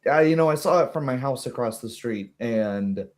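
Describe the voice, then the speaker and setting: A man voicing a small, blunt gnome woman in a tabletop game, rambling informally and haltingly as she explains things. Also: mid-range voice, animated, lightly acted. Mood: uneasy, blunt. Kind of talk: tabletop roleplay dialogue.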